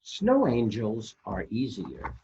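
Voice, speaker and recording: A man's voice: on the low side, wildly swooping pitch, takes it slowly, darkish tone, muffled recording, a little hiss or hum. A man hosting a children's library storytime, reading a picture book expressively and speaking directly to young listeners. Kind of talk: animated children's picture-book read-aloud.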